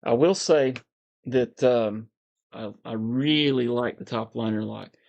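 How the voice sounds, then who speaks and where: Calm, gravelly man chatting. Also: medium pitch, slow, dull audio. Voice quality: calm, soothing voice. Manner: relaxed, meandering, frequent fillers and soft chuckles. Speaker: livestream host. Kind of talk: casual livestream chat reading comments.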